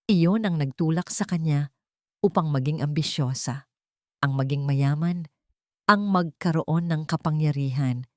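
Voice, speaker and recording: A woman's voice: low-pitched, measured pace, dry recording, clean studio sound. A woman storyteller reading a scripted dramatic audio story as narrator.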